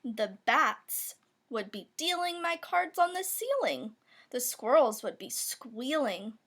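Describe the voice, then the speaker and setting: A high-voiced woman reads a children's picture book in rhyme. She takes it slowly, with big swoops in pitch and playful, expressive emphasis on the sound words. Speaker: storybook reader. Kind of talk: children's picture book read-aloud, rhyming verse.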